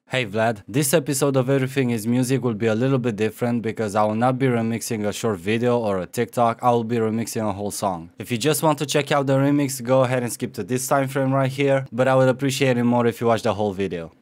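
A man's voice: medium pitch, fast, few pauses, a little mellow. A young man from Romania with a Romanian accent, explaining his remix in a casual, self-mocking way from a small home studio on a studio mic. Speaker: music producer. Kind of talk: casual tutorial vlog. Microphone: studio mic on stand.

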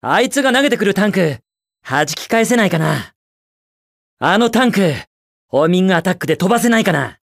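A man's voice: sits high, expressive, brisk, vocal fry, dry recording, clean studio sound, heavily acted. A man voicing a cocky, carefree young hedgehog speedster hero in a video game, with a cool, brash, quippy delivery. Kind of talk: Japanese video game character voice lines.

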